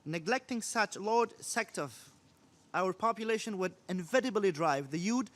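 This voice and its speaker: Young man, high-pitched voice, earnest formal address to an assembly. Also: expressive, normal speed. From Afghanistan. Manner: earnest, declamatory, occasional restarts. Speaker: youth delegate. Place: large conference hall.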